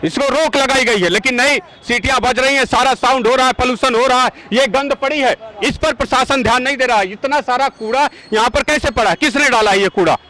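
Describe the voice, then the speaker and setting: Male campaigner, very high bright voice, indignant street interview. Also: a little fast, few pauses, some chatter behind, rough recording. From Azamgarh, India. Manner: forceful, rhetorical, indignant questioning. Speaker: yajna organizer, campaigner. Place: outdoors at a busy road junction.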